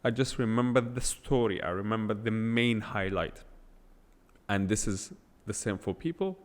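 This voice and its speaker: A man's voice: lowish voice, normal speed, in a large hall. A man hosting a solo podcast, talking without a script in a blunt, emphatic way and firing off rhetorical questions. English is not his first language. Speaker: podcast host. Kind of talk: unscripted solo podcast monologue.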